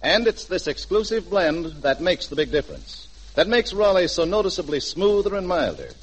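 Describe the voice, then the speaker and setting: Male American announcer, 1940s radio commercial, emphatic pitch, highish husky voice with swooping intonation. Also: sing-song, normal speed, poor audio. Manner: polished, emphatic salesmanship. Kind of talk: 1940s radio cigarette advertisement, scripted narration. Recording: old 1940s radio broadcast.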